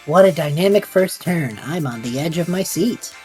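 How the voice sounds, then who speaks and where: A woman's voice: low voice, lively intonation, moderate pace, mellow. A woman voicing a sarcastic, exasperated young man with a dry, weary delivery. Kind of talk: audio drama acting. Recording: faint music behind.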